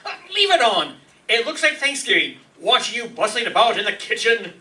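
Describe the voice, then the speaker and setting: Man acting a high, sharp, grumbling sitcom husband. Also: brisk, recorded from a distance, full character voice. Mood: upbeat. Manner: animated, protesting. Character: grumbling, exasperated but good-natured sitcom husband. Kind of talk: old-time radio sitcom acting.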